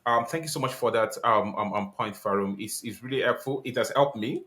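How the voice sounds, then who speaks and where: A man's voice: mid-range voice, fairly quick, fairly bright tone. A man hosting a podcast interview, asking questions politely with many ums and restarts. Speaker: podcast host.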